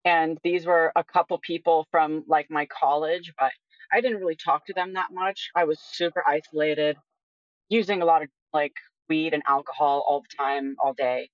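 A woman's voice: deep voice, fairly even intonation, normal speed, no echo. Candid young woman, slightly dull audio. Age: 20s. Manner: candid, informal, frequent "like" as filler. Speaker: interview guest. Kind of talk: casual personal conversation.

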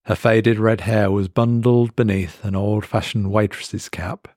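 A man reading a scripted horror story as narrator, with a measured, dramatic delivery in short weighted phrases. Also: lowish voice, steady tone, a little mellow, no echo, clean studio sound. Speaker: story reader.

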